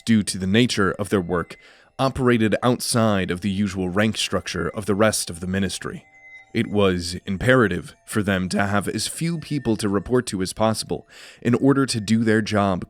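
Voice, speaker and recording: Low-pitched man narrating a fantasy tale. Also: no room sound. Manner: measured storytelling. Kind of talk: scripted fantasy drama narration.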